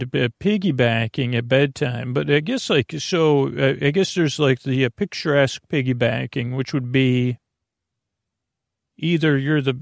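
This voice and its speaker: A man hosting a bedtime podcast in a creaky voice, meandering gently through silly tangents and halting self-corrections. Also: medium pitch, no room sound, studio quality. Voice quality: creaky, gravelly. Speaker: podcast host. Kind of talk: rambling, soothing bedtime monologue.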